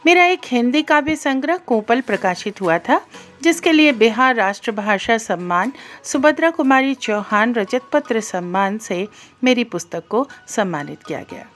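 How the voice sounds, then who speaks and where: A middle-aged woman radio presenter from Bihar whose first language is Maithili. Her voice sits fairly high and moves in lively, animated swings as she introduces herself to listeners. Music plays with her voice. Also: normal speed. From Bihar, India. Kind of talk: scripted self-introduction to listeners.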